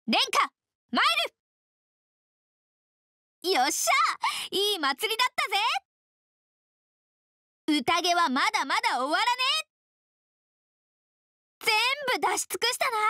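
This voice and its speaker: High-pitched, fiery anime tomboy. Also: female voice, bright, forceful tone, dry recording, clean studio sound, full character voice. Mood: indignant, gruff. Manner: rough, boisterous tomboyish slangy delivery. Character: fiery, brash, festival-loving tomboy fighter girl. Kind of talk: Japanese anime video game voice lines.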